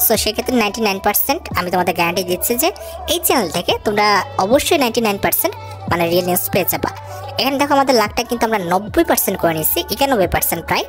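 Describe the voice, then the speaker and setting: Very fast-talking Bangladeshi woman gamer over music. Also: medium pitch. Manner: chatty, addresses viewers directly with casual asides. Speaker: gaming video host. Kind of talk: casual gameplay commentary. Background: background music.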